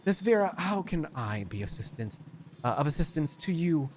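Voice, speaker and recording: A man voicing a suave, condescending male vampire socialite in his forties, who speaks smoothly and without hurry. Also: fairly high pitch, sing-song, normal speed, background noise, voice pushed up. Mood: courtly.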